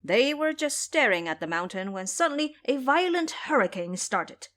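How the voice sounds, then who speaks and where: A woman's voice: high-pitched, sing-song, moderate pace, a bit bright. Female dramatic storyteller. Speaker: narrator.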